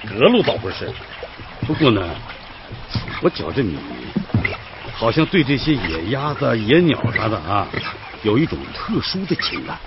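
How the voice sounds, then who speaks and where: Radio drama passenger: jovial, curious old man, folksy, mid-pitched with big swings in intonation. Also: sing-song, over music. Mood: reflective. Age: older. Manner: chuckling, folksy, drawn-out interjections. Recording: dull, noisy radio drama recording.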